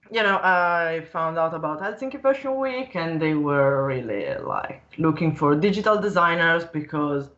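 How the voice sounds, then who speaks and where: A woman's voice: low-pitched, a bit bright. An Italian-accented woman chats on a video call as a 3D designer guest. She talks loosely, with many fillers and frequent laughs. The call audio is muffled and lo-fi. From Italy. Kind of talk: casual live-streamed conversation.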